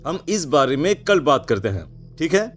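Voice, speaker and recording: Man acting headstrong teenage son, swinging lively pitch. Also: moderate pace, faint music behind. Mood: reassuring, dismissive. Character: headstrong seventeen-year-old son, curious and dismissive of superstition. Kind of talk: Hindi animated horror story voice acting.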